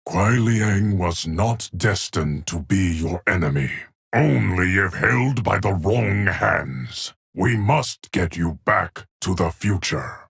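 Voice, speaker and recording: Game casting: an ageless, solemn keeper of time, low rumbling gravel, dry close sound, measured formal pronouncements. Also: male voice, lively intonation, fairly bright tone, no echo, exaggerated voice. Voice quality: deep, gravelly, husky. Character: solemn ageless immortal male guardian of time. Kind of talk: video game character voice lines.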